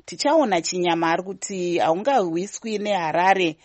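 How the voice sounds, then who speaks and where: A woman's voice: lowish voice, lively intonation, normal speed, darkish tone, no echo. A woman with a Zimbabwean accent hosting a live talk show, switching between Shona and English as she chats with listeners. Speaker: radio host.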